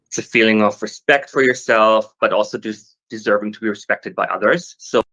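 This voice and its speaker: A man speaking as a marketing lead on a corporate panel, measured and a little hesitant, with frequent "um"s. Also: lowish voice, sharp tone, lo-fi sound.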